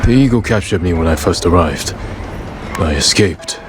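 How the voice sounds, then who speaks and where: Low-voiced, brooding samurai warrior. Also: male voice, expressive, very noisy. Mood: grave, guarded. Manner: terse, measured, formal. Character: stern, brooding samurai warrior, grave and resolute. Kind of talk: dramatic video game cutscene acting. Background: game music.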